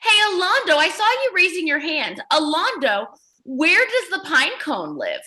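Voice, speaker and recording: A woman reading aloud to young children as their teacher, encouraging and exaggerated, pausing to ask questions. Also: very high-pitched, wildly swooping pitch, pushed and bright.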